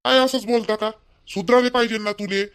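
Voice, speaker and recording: A man plays a scolding young village husband in a homemade cartoon. His voice sits high, and he nags bluntly and repetitively in a rural Vidarbha Marathi accent. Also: steady tone, fairly quick, fairly bright tone, smooth. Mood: scolding, accusing. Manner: blunt, nagging, repetitive complaining. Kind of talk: amateur cartoon dialogue in rural dialect.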